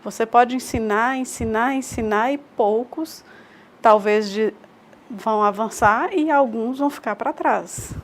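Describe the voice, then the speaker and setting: Fairly high-voiced Brazilian woman teaching. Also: unhurried. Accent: Brazilian Portuguese. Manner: explains through rhetorical questions and repetition, checks in with "ok?". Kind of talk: recorded online lecture.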